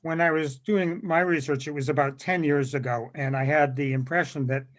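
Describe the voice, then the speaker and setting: Higher-pitched man chatting on a panel. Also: fairly even intonation, moderate pace. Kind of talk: casual discussion, asking questions. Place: virtual meeting on video call. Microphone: video call.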